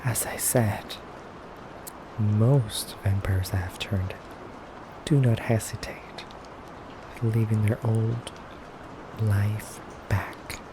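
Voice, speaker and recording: Man acting as an elegant male vampire interrogator, slow soft ASMR delivery, haughty, halting, noisy ambience behind. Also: on the low side, steady tone. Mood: aloof, stern. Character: aloof, condescending, elegant femboy vampire interrogator. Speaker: ASMR roleplay performer.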